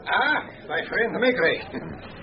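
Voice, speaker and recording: An actor playing a courteous middle-aged diamond merchant in a radio drama, speaking deliberately. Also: male voice, sits high, big pitch swings, vocal fry, a crowd in the background, exaggerated voice. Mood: courteous, friendly. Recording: dull, noisy radio drama recording.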